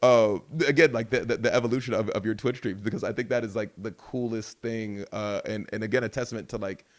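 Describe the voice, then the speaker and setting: A man hosting a casual interview. He talks excitedly, full of slang, and often restarts his words. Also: lowish voice, brisk. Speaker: podcast host.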